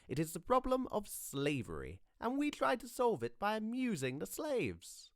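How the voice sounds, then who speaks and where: A man's voice: sits high, wildly swooping pitch, normal speed, no room sound, studio quality, voice pushed up, heavily acted. A man voicing a languid, witty young aristocratic lord with a drawling, ironic delivery.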